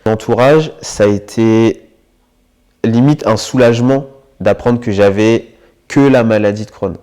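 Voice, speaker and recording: A man in his twenties from the Paris region, giving a reflective, hesitant personal testimony in an interview, with frequent filler pauses. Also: fairly low pitch, warm tone, smooth. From Paris region, France. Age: 20s. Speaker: interviewee sharing his experience with illness.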